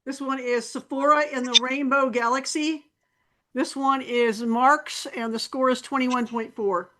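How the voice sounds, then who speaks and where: High-voiced woman announcing photo entries. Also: fairly even intonation, sharp tone. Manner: unhurried, announcing entries and scores. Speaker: meeting moderator. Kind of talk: running a photo critique session.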